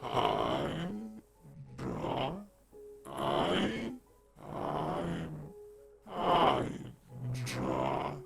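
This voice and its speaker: A man voicing a newborn monster from a grave, croaking and halting as it struggles to form words. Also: medium pitch, wildly swooping pitch, soft background music, exaggerated voice. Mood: struggling. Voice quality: croaking, growling. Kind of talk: storybook reading.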